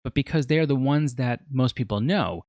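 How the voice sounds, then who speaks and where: A man's voice: medium pitch, normal speed, a little mellow, no room sound, clean studio sound. A man in his forties narrating a scripted video review as its host, explaining in an earnest, emphatic way. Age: 40s.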